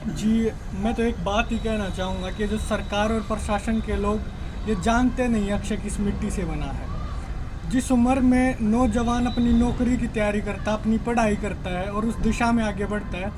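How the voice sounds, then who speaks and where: A woman's voice: medium pitch, fairly even intonation, normal speed, background noise. A woman giving a statement to reporters on location.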